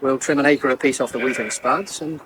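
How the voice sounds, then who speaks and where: A man's voice: mid-range voice, fairly quick, a bit bright, natural voice. Actor as harried wry farmer, British accent, mid-range male voice, conversational radio soap acting, slight noise. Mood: wry, weary. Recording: faintly noisy old radio broadcast.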